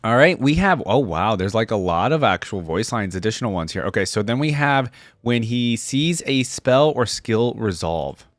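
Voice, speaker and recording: A man's voice: fairly low pitch, big pitch swings, normal speed, no echo, studio quality. A man hosting a reaction video, talking casually with informal, exclamatory asides.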